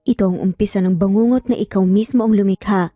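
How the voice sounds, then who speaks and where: A woman's voice: medium pitch, steady tone, fast, dark tone, studio quality, close to an everyday voice. A woman with a Filipino accent voicing a cold, vengeful young groom in an audio romance story, with a menacing manner. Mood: bitter threat. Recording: roomy echo, faint music behind.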